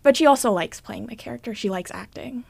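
A woman's voice: a little high, a little fast, vocal fry, darkish tone, no room sound. A teenage girl answering interview questions casually as a cast member, recorded close and dry.

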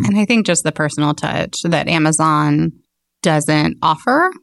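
A woman's voice: sits low, lively intonation, measured pace, dry recording, clean studio sound. A woman with an American accent explaining things casually as a shop owner being interviewed. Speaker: bookstore owner, interview guest.

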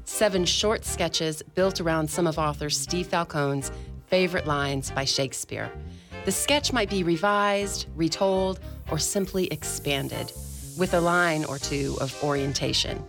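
A woman's voice: deep voice, measured pace, over music. A woman reading a scripted introduction as the announcer of a radio drama programme. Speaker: announcer and player.

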